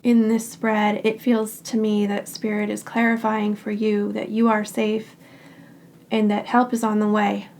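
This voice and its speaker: A woman giving a tarot reading in short, unhurried phrases. Her voice is raspy and hoarse because she is getting over a cold. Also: medium pitch. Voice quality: raspy, scratchy throat. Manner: calm and reassuring, speaks in short unhurried phrases. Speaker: tarot card reader. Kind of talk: pick-a-card tarot reading.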